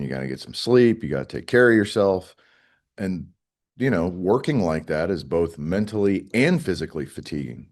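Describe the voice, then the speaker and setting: A man's voice: lowish voice, darkish tone. A middle-aged man giving conversational interview answers as a union spokesman, plain-spoken and emphatic, repeating phrases for stress. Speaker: air traffic controllers' union spokesman.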